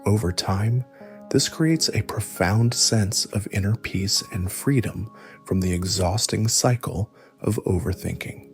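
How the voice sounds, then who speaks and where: A man narrating a scripted guided meditation, giving gentle instructions in a soothing, measured way. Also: fairly low pitch, soft background music.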